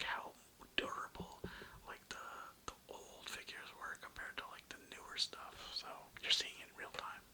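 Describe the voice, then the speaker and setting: A man's voice: sharp tone, low-quality recording. A man whispering a casual, rambling, slangy ASMR monologue, close to the microphone. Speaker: ASMR video creator. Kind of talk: whispered ASMR ramble about old toys.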